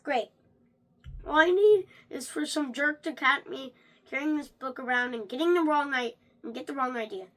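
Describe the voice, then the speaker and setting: Someone reading a children's book aloud in an animated way, stumbling over words and starting again. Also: high-pitched, moderate pace. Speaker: reader of a read-along.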